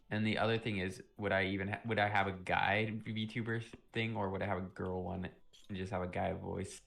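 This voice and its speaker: A young man on a live stream, chatting casually and rambling with lots of fillers, a little sick and often clearing his throat. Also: sits low, normal speed, a bit bright. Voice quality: vocal fry. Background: music playing.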